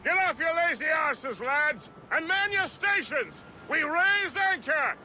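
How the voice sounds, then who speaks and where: An actor playing a jovial, tipsy, boisterous ship's first mate in a video game. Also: male voice, extremely high pitch, animated, takes it slowly, bright, forceful tone, slight background noise, full character voice. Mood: rousing. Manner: boisterous, theatrical. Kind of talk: video game cutscene acting.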